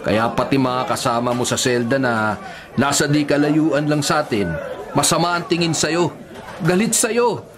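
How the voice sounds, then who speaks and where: A man acting in a Filipino radio drama as a gentle, God-fearing older prisoner who sermonizes earnestly as a peacemaker. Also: on the high side, moderate pace, crowd noise, natural voice. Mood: earnest, preaching.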